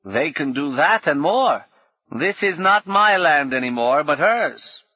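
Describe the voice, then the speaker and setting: A man's voice: fairly high pitch, animated, unhurried, no room sound, dull audio, voice pushed up. A man voicing a rueful old woman fallen on hard times, speaking in a bitter, wry, emphatic way.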